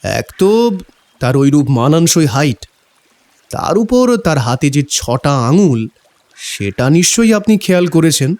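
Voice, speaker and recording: A man's voice: fairly high pitch, sing-song, a little slow, long pauses, darkish tone. A man playing a grave, knowledgeable explainer of village folk belief in a horror audio drama, speaking emphatically. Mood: firm, probing. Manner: explanatory, emphatic, rhetorical questions.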